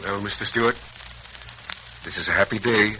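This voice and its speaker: An actor playing a kindly, folksy older family friend in a theatrical 1940s radio serial style. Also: male voice, on the low side, moderate pace, pushed and bright, low-quality recording. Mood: kindly, contented. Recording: hissy old radio recording.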